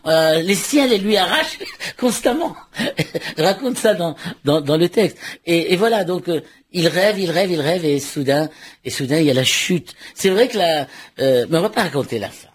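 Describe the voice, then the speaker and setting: Husky, halting male novelist. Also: on the high side, expressive. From Morocco. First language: Arabic and French. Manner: halting, repeats words, laughs often. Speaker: novelist guest. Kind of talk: radio interview.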